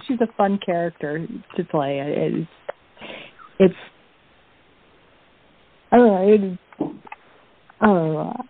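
Low-voiced woman on a phone-like line. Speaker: radio play actress, interview guest. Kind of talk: casual interview chat. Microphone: computer or phone. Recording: thin phone-like line, roomy echo.

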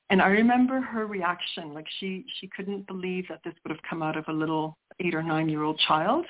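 Reflective middle-aged woman on a phone line. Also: on the low side. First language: Sicilian. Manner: reflective, searching for words with restarts. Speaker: poet guest. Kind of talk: interview conversation.

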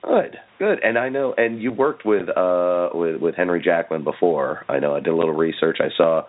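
A man's voice: sits low, moderate pace, narrow, tinny audio. A man hosting a pop culture radio show, interviewing in a chatty, informal, self-deprecating way. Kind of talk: casual interviewing.

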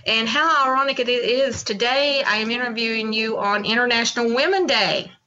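A woman's voice: a little high, normal speed, pushed and bright, lo-fi sound. A woman hosting an interview show, effusive and full of fillers like "you know". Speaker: podcast host.

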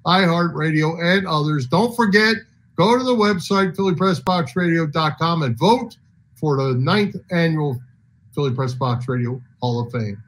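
A man's voice: fairly high pitch, moderate pace, a bit bright, rough recording. A man co-hosting a sports radio show, talking casually and reading out show plugs.

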